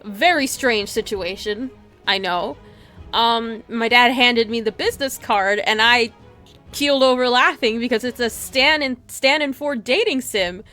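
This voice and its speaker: A woman hosting a game livestream, chatting casually and reading story narration aloud in an animated, giggly way. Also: a little high, unhurried, soft background music. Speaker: livestream host playing a visual novel. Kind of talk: casual stream chat and dramatic read-aloud.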